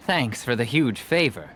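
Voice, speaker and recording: A man playing a friendly, smooth-talking merchant in a game, telling stories with persuasive salesman patter. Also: mid-range voice, fairly bright tone, slight background noise. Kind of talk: animated game voice acting. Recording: music behind the voice.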